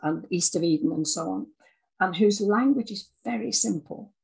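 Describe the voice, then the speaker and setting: An older woman, over sixty, talking reflectively in an interview, thoughtful and halting as she repeats words. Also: mid-range voice, vocal fry, darkish tone, slightly rough, low-quality recording. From Scotland. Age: 60s or older. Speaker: interview guest, audio dramatist.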